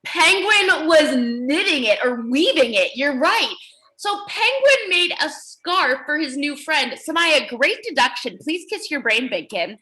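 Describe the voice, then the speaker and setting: Lady teacher reading a picture book to kids, slow pace, super singsong up and down, encouraging. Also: high voice, sing-song, sharp tone, poor audio. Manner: encouraging, exaggerated for children. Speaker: teacher reading aloud to young children. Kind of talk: animated children's read-aloud with questions.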